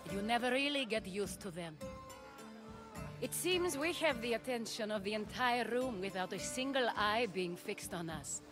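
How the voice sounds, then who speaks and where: An actress playing a shrewd noblewoman of ancient Athens in a game, measured and discreet in her delivery. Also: a little high, animated, lightly acted. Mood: knowing. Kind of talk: video game cutscene acting. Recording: music under the voice.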